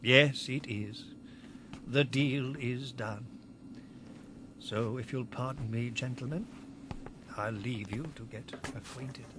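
A man's voice: mid-range voice, slow, pauses often, very noisy, lightly acted. An actor playing a kindly, proud, old-fashioned elderly tradesman in a radio drama. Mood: resigned.

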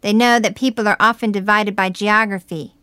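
A woman narrating a scripted, folksy reflective essay in a measured, plain-spoken way. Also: medium pitch, fairly even intonation, sharp tone, no room sound.

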